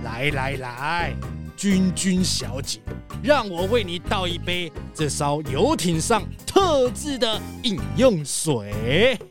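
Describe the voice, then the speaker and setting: A woman playing an eager, obliging, easily startled passenger, expressive, in a children's audio drama. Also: fairly low pitch, slow pace, over music.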